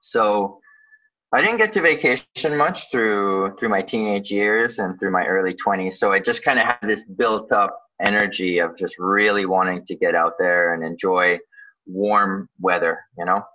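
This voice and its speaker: Guy from canada chatting as an interview guest, bright voice, kinda thinking out loud, slightly muffled audio. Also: low voice. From born in Ukraine, grew up in Canada. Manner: informal, halting, thinks aloud. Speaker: guest, underwater photographer and dive professional. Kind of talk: casual conversational interview answers. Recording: slightly dull, lo-fi sound.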